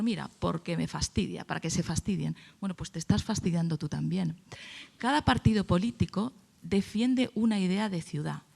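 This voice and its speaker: Woman, Spain Spanish accent, creaky voice, panel speaker who argues a point firmly and answers her own questions. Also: medium pitch, dark tone. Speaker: panelist at a congress. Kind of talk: opinionated panel discussion talk.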